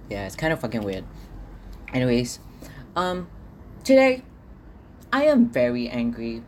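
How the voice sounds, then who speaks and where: A man's voice: mid-range voice, a little hiss or hum. A young man from Singapore, hosting a casual solo podcast diary at home, ranting and swearing freely. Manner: ranty, swears freely, frustrated outbursts. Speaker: podcast host.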